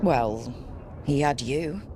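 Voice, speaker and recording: An actress playing a level-headed, frank adventure heroine in a fantasy video game, conversational and direct with a dry edge. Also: female voice, extremely low pitch, expressive, slow pace, noisy background, exaggerated voice. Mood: candid.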